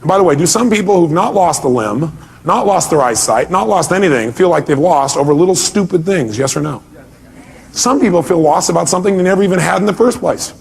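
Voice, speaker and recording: A man with a somewhat high-pitched voice speaks as a motivational seminar presenter. He addresses his audience directly and emphatically, driving each point with rhetorical questions. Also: expressive, a little fast, vocal fry.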